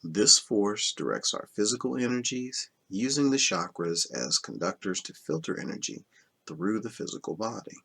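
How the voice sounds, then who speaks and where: A man reading a self-help book aloud as scripted narration, in a measured, instructional manner that stresses key terms. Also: on the low side, animated, creaky, bright, forceful tone, breathy edge. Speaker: audiobook reader.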